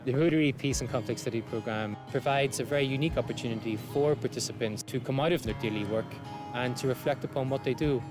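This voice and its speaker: Brisk, formal man over guitar music. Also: medium pitch. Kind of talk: documentary interview.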